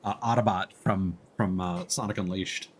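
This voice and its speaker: A man's voice: low-pitched, fairly even intonation, moderate pace, a little hiss or hum. A man live streaming a video game with casual, playful, joking commentary. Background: game music.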